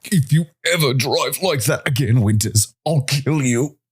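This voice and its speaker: A man voicing a wiry, sharp-tongued, queasy spaceship mechanic in a sci-fi audio story. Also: medium pitch, measured pace, breathy edge, pitched above the performer's own voice. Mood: queasy, threatening.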